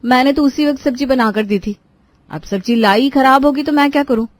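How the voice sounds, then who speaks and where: Woman acting a exasperated young daughter-in-law, pitched up. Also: a little fast, no echo, close to an everyday voice. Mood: defensive. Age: young adult. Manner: complaining, exasperated. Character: overworked young daughter-in-law, resentful, grumbling, defensive. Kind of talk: Hindi cartoon story dialogue.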